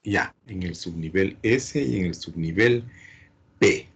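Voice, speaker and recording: Man, teacher, slightly low husky voice, slow pace, didactic check questions. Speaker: chemistry teacher.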